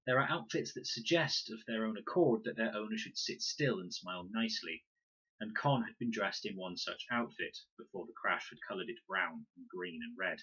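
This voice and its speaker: A man reading a children's audiobook in an expressive, dramatic storytelling manner. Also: fairly low pitch, normal speed, breathy edge, lo-fi sound. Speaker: reader.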